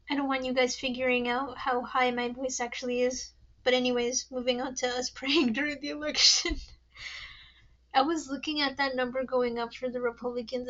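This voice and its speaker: A woman's voice: high voice, a bit bright, highs cut off. A young adult woman with a young-sounding voice, hosting a casual solo podcast, rambling and nervous, swearing often and laughing at herself. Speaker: podcast host.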